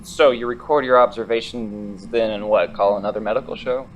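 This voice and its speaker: A man's voice: lowish voice, fairly quick, a little hiss or hum, natural voice, rough recording. A man playing a nosy, teasing musician friend in an audio drama, talking in a casual, needling way. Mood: curious, eager. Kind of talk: scripted audio drama dialogue.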